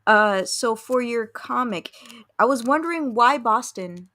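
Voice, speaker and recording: A woman's voice: fairly high pitch, expressive, unhurried, pushed and bright. A woman hosting a casual interview, chatting informally and reacting with exclamations. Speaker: interviewer, show host.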